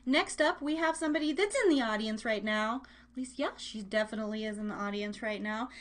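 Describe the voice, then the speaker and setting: A woman's voice: on the high side, a little fast. A woman hosting a live online music show in a casual, chatty, gushing way. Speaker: radio show host.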